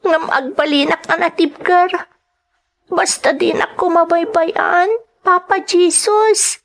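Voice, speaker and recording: Woman doing a very high creaky little ilocano schoolgirl, pleading and hurt, drama acting. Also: fairly even intonation, takes it slowly, heavily acted. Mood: tearful prayer. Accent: Filipino, Ilocano. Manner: plaintive, pleading. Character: young schoolgirl Elaine, poor, hurt, prayerful, determined daughter.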